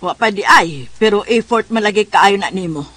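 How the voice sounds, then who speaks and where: An actress plays a nosy, teasing confidante in a Cebuano radio drama. Her voice is slightly husky at a middling pitch, with a Cebuano Filipino accent. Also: normal speed, fairly bright tone, dry recording, lightly acted. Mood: inquisitive.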